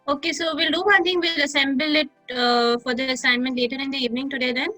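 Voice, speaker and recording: A woman's voice: high-pitched, steady tone, fast, bright, forceful tone, no room sound, soft background music. A woman from West Bengal, a student who organizes her group's project meetings. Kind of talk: student role-play video.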